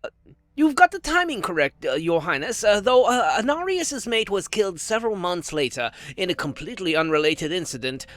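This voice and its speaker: A man voicing a gruff, hot-tempered male dragon in an animated fantasy, blunt and halting. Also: high voice, dry recording. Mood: awkward, hesitant. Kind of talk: animated fantasy drama acting.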